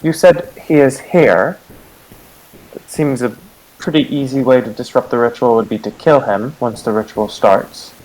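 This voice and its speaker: A man's voice: mid-range voice, moderate pace, a little mellow, lightly acted, low-quality recording. A man playing a haughty, scheming aristocrat. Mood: probing. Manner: condescending, dry.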